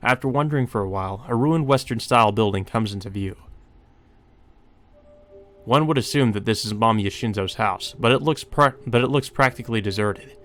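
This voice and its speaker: A man's voice: on the low side, moderate pace, a bit bright. A man narrating a visual novel aloud as a let's play host, with brief asides of his own.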